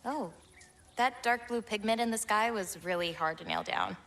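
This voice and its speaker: A woman playing a gentle, self-reliant teenage giantess in a video game, speaking in a natural, expressive, conversational way. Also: medium pitch, moderate pace, creaky. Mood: modest, sincere.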